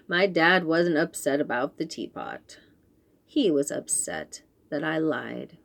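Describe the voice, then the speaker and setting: A young woman reading a children's picture book aloud, slowly and clearly explaining words. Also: deep voice. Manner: slow, clear and expressive, explaining fancy words.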